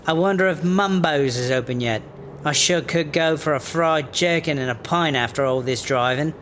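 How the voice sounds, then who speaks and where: A man's voice: a little high, normal speed, fairly bright tone, close to an everyday voice. A man with a British accent playing a cheeky, mouthy smuggler driver in a comedy audio drama, slangy and casual. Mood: breezy, innocent. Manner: slangy, casual, feigning innocence. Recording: faint vehicle noise behind.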